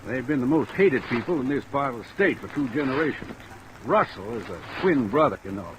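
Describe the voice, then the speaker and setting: An actor playing a guarded, suspicious small-town defense lawyer in an old radio drama, speaking in a measured, deliberate way. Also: male voice, mid-range voice, lively intonation, lightly acted. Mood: wary, warning. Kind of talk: 1940s-50s radio drama acting. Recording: noisy old radio recording, rain over it.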